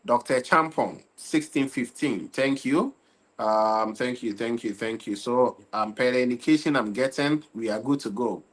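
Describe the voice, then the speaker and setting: A man's voice: mid-range voice, normal speed. A young man hosting a casual football livestream from Ghana, tired and loose, repeatedly checking in with his viewers. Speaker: livestream football talk host.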